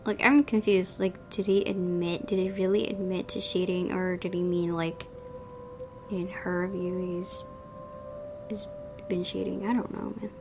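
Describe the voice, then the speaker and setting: Woman gaming host over clear music. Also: on the low side, flat delivery, measured pace. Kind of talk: casual game commentary with read-aloud narration. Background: game music.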